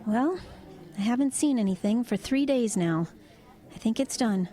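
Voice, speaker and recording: A woman's voice: on the high side, expressive, normal speed, warm tone, close to an everyday voice. An actress playing a matter-of-fact woman truck driver in an audio drama, recounting eerie visions in a hesitant, conversational way.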